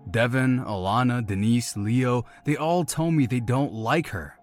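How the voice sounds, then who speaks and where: An actor playing a pushy, needling best buddy of about thirty in an audio drama, brash and blunt in manner. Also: male voice, mid-range voice, a little slow, faint music behind, close to an everyday voice. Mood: persuasive, feigned concern. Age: around thirty.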